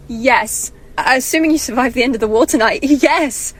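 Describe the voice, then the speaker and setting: A woman's voice: sits high, normal speed, breathy edge. A woman playing a poised British wartime agent in an audio drama, with a playful, coy manner and a British accent.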